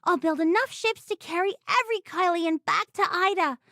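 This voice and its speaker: Very high-voiced plucky child captain. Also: female voice, normal speed, bright, forceful tone, no room sound, clean studio sound, exaggerated voice. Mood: earnest, resolute. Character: plucky bereaved child pirate captain, earnest and determined. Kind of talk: anime game voice acting.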